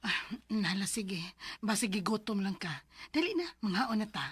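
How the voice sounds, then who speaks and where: A woman's voice: medium pitch, lively intonation, normal speed, fairly bright tone, breathy edge. An actress with a Filipino Bisaya accent playing a caring, worried middle-aged mother in a radio drama, speaking tenderly. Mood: soothing.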